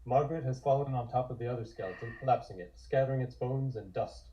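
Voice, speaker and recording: Male narrator, mid-pitched and dark-toned, scripted comic descriptions, somewhat muffled recording. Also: fast, a little hiss or hum.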